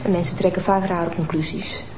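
A woman plays a young witness who speaks calmly and keeps her guard up. Her voice carries over a noisy TV soundtrack. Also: on the low side, natural voice. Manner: measured, guarded. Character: composed young woman hiding an affair.

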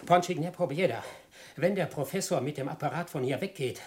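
An actor playing a brusque, suspicious Soviet comrade with a husky voice in a German radio play. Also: male voice, a little high, fairly quick, noisy background, close to an everyday voice. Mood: stern, urgent. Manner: brusque, authoritative. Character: commanding, suspicious Soviet comrade barking orders.

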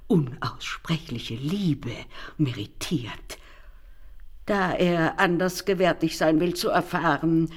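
An actress playing a sly old go-between in a radio play, coaxing and veiling threats in ceremonious, old-fashioned speech. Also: female voice, on the low side. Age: older. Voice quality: husky, breathy, dropping into whispers. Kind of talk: radio play acting in archaic German.

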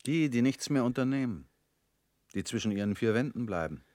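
An actor in a radio drama playing a weary middle-aged family man, guarded and resigned, talking in flat, curt lines that often sink quiet. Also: medium pitch, a little fast, no echo, studio quality, lightly acted. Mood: bitter.

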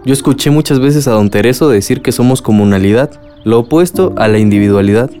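A man's voice: medium pitch, expressive, a little fast, mellow, lightly acted. A man with a Mexican accent playing a supportive, principled young adult son in a radio drama. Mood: supportive, earnest. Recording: music bed under dialogue.

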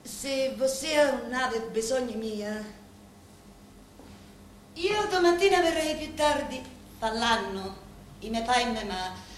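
An actress playing a deferential, devoted Sicilian woman who serves a local boss, speaking a reverent, deliberate stage monologue with a Sicilian accent. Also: a little high, animated. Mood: dutiful reporting. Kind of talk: theatrical dramatic monologue in dialect. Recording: very echoey room, faint background noise.

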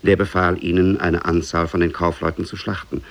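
A man narrating an Oriental fairy tale in a measured way, as in a 1950s German radio play. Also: low voice, flat delivery, vocal fry. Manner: measured, storytelling.

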